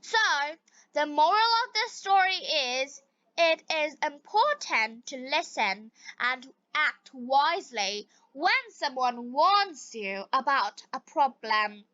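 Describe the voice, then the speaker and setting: A woman tells a simple learners' story at an extremely slow pace, enunciating each word with care. Her voice is high and bright. The recording is dry and slightly lo-fi. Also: animated, no room sound. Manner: deliberate, carefully enunciated. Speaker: narrator of English-learning stories.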